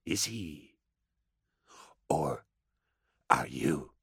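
A man voicing an amiable but menacing interrogator in an audiobook, measured, courtly and sardonic, with theatrical mockery. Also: extremely low pitch, big pitch swings, gravelly, a bit bright, breathy edge, no echo, clean studio sound, voice pushed down, full character voice. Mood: quiet menace. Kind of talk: audiobook character acting.

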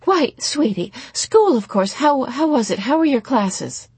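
A woman voicing an anxious, sweet, sad mother in a storybook, cooing gently and hesitantly over her daughters. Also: medium pitch, sing-song, extremely slow, husky, dry recording, pitched above the performer's own voice, exaggerated voice. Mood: fussing, sweet.

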